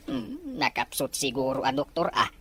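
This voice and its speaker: A woman's voice: a bass voice, big pitch swings, moderate pace, fairly bright tone, full character voice. A woman voicing a sceptical, plain-spoken older husband and father in an audio drama. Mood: wry, musing. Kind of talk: Ilocano audio drama acting.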